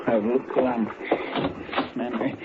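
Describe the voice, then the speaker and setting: An American actor playing a fretful, folksy frontier deputy with a rural drawl in a Western radio drama. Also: male voice, mid-range voice, little pitch movement, takes it slowly, close to an everyday voice. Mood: worried. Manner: folksy, fussy, plaintive. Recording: tinny, noisy old radio recording.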